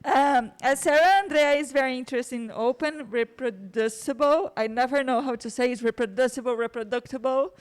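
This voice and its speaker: A woman's voice: high-pitched, a little fast, few pauses. Chatty woman introducing a guest speaker. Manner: warm, chatty, laughs between remarks. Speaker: course host introducing a speaker. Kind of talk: casual spoken introduction. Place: lecture room.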